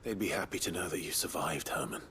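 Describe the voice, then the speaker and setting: An actor playing a grim, stoic yet compassionate adventurer in a video game, terse and brooding, often close to a whisper. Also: male voice, low voice, quick pace, sharp tone, a little hiss or hum. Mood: gently consoling. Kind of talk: dramatic video game acting.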